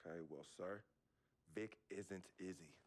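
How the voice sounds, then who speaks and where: An actor playing a young, loyal basketball star from a rough neighborhood, speaking casually and with slang in a video game cutscene. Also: male voice, deep voice, unhurried. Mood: guarded.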